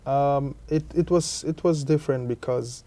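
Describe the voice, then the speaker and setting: A young man from Guyana being interviewed about his cricket, answering haltingly and often repeating his words. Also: mid-range voice, mellow. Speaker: cricketer guest.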